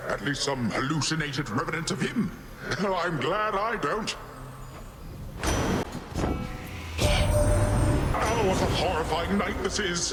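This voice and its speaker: A man's voice: a little high, heavy background noise, natural voice. A man playing a jovial, boastful sea god uncle in a video game, with grandiose, playful and theatrical delivery that is goofy yet haughty. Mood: grave, theatrical. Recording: loud game music behind the voice.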